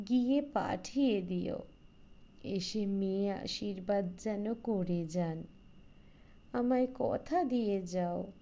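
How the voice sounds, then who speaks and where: A woman narrator acts out an elderly village matriarch in a storybook reading, affectionate and earnestly pleading. Her words come very slowly in a soft-edged, mellow tone. The recording is slightly dull. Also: medium pitch. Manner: slow, earnest entreaty.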